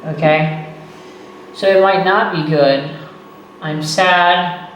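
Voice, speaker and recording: Slow, patient teacher in an echoey room. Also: male voice, a little high, fairly even intonation, a bit bright, dull audio. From Texas. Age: 30s. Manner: patient, repeats phrases slowly and checks with "okay" and "right". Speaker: English teacher. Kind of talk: slow, deliberate beginner English lesson. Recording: echoey room, voice far from the mic, faint noise.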